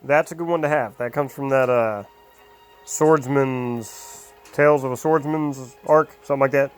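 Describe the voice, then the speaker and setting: Chatty man with a slightly hoarse voice. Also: mid-range voice, moderate pace, faint music behind. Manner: chatty, rambling, lots of ums and uhs. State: slightly hoarse, losing his voice. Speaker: mobile game guide creator. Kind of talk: casual game guide commentary.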